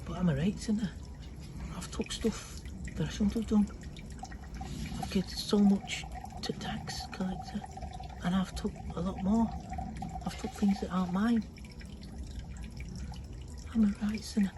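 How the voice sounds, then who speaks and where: A storyteller voicing an excitable, clumsy little tax collector man who is flustered, breathless and apologetic. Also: medium pitch, unhurried, long pauses, background noise, voice pushed up, close to an everyday voice. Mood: remorseful.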